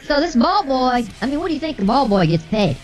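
A comedy show co-host in casual banter, with the voice electronically pitch-shifted up. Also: very animated, fairly quick, soft background music. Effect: pitch-shifted voice, raised.

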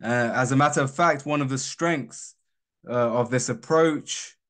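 A man's voice: mid-range voice, a little slow, fairly bright tone. British-accented young man giving a seminar. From Colombia. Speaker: researcher presenting a seminar. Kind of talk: academic presentation.

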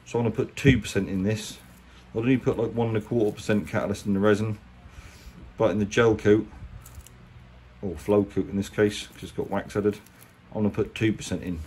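A man's voice: on the low side, steady tone, fairly quick, darkish tone. A man with an English accent giving a chatty, colloquial hands-on tutorial in a casual, plain-spoken way. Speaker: boat restorer presenting a how-to. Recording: clear background noise, handheld workshop recording.

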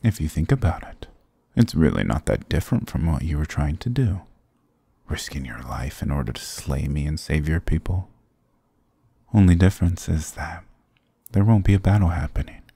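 A man's voice: lively intonation, moderate pace, mellow, no echo, studio quality, heavily acted. A man playing a sarcastic, dominant demon king in an intimate ASMR roleplay, smug, teasing and condescending, often slipping toward a whisper. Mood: coaxing, smug. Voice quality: very deep, gravelly, breathy. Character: sarcastic, dominant male demon king, playfully toying with captive.

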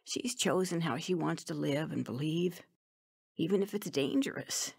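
An actress playing an easygoing, teasing old grandmother in a radio drama, husky and folksy. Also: low voice, darkish tone, no room sound, full character voice. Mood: gentle, earnest. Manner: folksy, unhurried, chuckling.

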